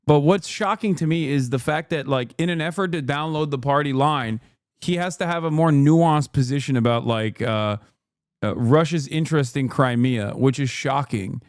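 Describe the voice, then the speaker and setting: A man streaming live and reacting to a debate clip, joking and laughing often, dropping to low mutters and jumping to loud high outbursts. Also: moderate pace, no echo, clean studio sound. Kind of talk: casual reaction commentary.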